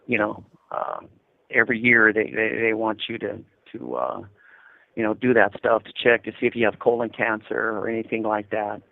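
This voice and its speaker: A man's voice: on the low side, moderate pace, gravelly, dry recording. A man in his fifties with an American accent, talking conversationally and explaining things over a phone line as an interviewee. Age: 50s. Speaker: incarcerated interviewee calling from prison. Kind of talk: casual phone interview, recounting experiences. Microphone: prison telephone. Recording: tinny narrow-band telephone line.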